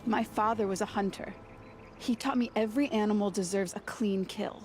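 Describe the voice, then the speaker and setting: A woman's voice: mid-range voice, fairly even intonation, normal speed, natural voice. A woman voicing a fierce, proud young huntress in a video game. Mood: solemn, grateful.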